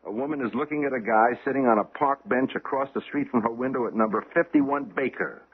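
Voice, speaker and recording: An actor playing a driven, excitable policeman with clipped, commanding, intense delivery in an old-time radio drama. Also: mid-range voice, fast, slightly rough, narrow, tinny audio, natural voice. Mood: brisk, urgent. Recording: thin, faintly noisy old radio broadcast.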